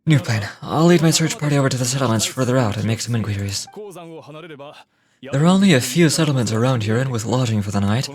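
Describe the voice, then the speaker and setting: A man's voice: mid-range voice, animated, fast, a little mellow, lightly acted. Man playing an earnest search party leader. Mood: resolute. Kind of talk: video game voice acting.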